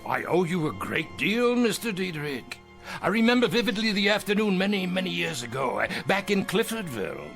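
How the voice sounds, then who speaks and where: An actor playing a ruthless self-made business tycoon in an audio drama, drawing out phrases with mocking relish. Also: male voice, high voice, big pitch swings, normal speed, vocal fry, fairly bright tone, faint music behind, full character voice. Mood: sly, reminiscing.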